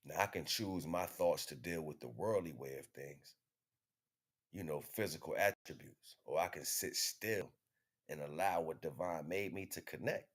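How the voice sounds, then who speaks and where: Deep, husky man preaching off the cuff. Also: moderate pace. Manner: emphatic, repetitive rhetorical questions and refrains, street slang. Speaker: spiritual teacher streaming online. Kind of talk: impromptu spiritual monologue, preaching.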